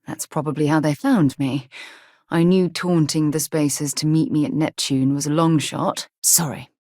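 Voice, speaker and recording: An actress playing a principled, warm, battle-tested space explorer and former commander in a video game, earnest and articulate. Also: female voice, deep voice, steady tone, moderate pace, dry recording, clean studio sound. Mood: wary, tense. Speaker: game companion character. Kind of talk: video game voice acting, dramatic dialogue.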